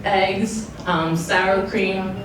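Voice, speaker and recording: A woman's voice: medium pitch, level tone, very slow, crowd noise. A young adult woman, a baker on a panel, chatting casually and using lots of "like". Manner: casual, many fillers and "like". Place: museum event space. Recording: very echoey room from far off, noisy.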